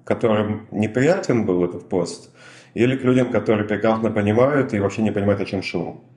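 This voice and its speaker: Probing low-voiced male host, echoey room. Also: brisk, a little mellow. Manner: probing, wordy questions. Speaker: podcast host. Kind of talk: interview questions.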